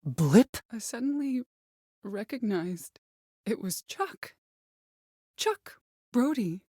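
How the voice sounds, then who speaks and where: An actress playing a vengeful, bitter middle-aged mother in a video game, commanding and threatening, with deliberate delivery and cold control. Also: medium pitch, no echo, clean studio sound. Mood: pained recollection. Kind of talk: dramatic video game voice acting.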